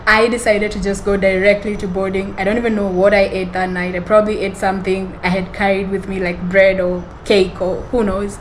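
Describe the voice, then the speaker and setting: A woman's voice: mid-range voice, little pitch movement, fairly quick, few pauses, slight background noise, poor audio. A woman hosting a podcast, telling a personal story in a rambling, self-correcting way and often laughing. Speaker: podcast host. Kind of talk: storytelling monologue.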